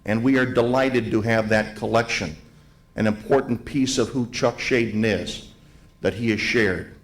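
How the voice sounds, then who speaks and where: A man delivering a ceremonial tribute to a live audience, speaking in deliberate, emphatic phrases with repetition. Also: lowish voice, steady tone. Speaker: event speaker, museum president giving opening remarks. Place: hotel grand ballroom event.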